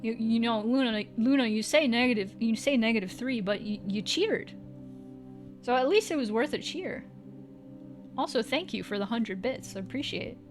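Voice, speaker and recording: A woman's voice: fairly high pitch, normal speed, soft background music. A woman livestreaming, chatting casually with viewers in a playful, teasing way and swearing now and then.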